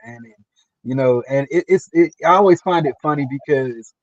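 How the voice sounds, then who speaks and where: A man hosting a casual interview over a video call, earnest and halting, often repeating himself. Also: medium pitch, no echo. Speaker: host interviewer. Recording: dull lo-fi stream audio.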